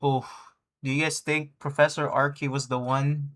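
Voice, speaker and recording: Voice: mid-range voice, flat delivery, normal speed, fairly bright tone, slight background noise. A livestreamer giving casual, slangy gaming commentary and reacting aloud to the story. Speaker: game livestreamer. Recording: game music behind the voice. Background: game music.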